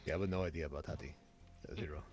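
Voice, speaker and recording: A man's voice: rumbling low, fairly even intonation, rapid-fire, darkish tone, faint music behind. A man roleplaying his character, thinking aloud in a restless way. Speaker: roleplay player.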